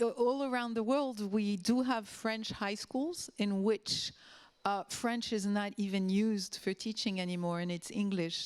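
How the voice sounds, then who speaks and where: A woman moderating a panel, briskly handing the floor from one speaker to the next. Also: medium pitch, clear, resonant tone. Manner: brisk, handing over turns.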